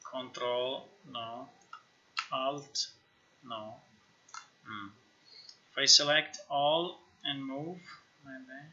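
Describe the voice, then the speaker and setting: Sharp, bright-voiced man thinking aloud, slow-paced. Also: medium pitch, fairly even intonation. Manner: halting, musing, talks to himself. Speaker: game developer live-streamer. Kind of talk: casual live screen-share commentary, thinking aloud. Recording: slightly dull, lo-fi stream audio.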